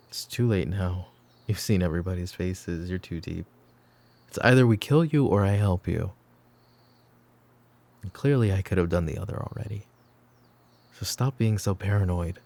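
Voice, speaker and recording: A man playing a weary middle-aged mafia boss in a roleplay audio drama, stern but protective, speaking in a measured, commanding way. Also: dark tone. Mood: firm, businesslike. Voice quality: low, gravelly.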